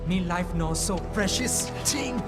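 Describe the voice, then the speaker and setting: Very high, plucky Guyanese boy. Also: animated, measured pace. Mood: brave resignation. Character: plucky, brave young boy, street kid. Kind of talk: video game cutscene acting. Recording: dull sound, loud noise or music behind.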